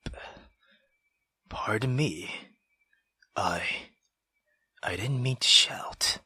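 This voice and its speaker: A man voicing a bitter, tormented man in a visual novel, halting, breathy and intense. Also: on the low side, bright, forceful tone, no room sound, studio quality, pitched below the performer's own voice, exaggerated voice. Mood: strained apology.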